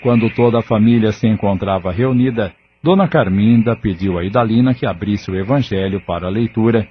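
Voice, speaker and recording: Low-voiced male audio drama narrator. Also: fairly quick, slight background noise. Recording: dull lo-fi audio. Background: background music.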